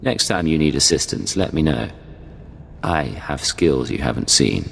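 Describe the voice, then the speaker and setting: An actor playing a cool, confident male Imperial secret agent in a video game, speaking in a measured, commanding way. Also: very deep voice, expressive, creaky, fairly bright tone, a little hiss or hum, heavily acted. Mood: smooth, confident. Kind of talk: dramatic video game dialogue. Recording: long reverb, music behind.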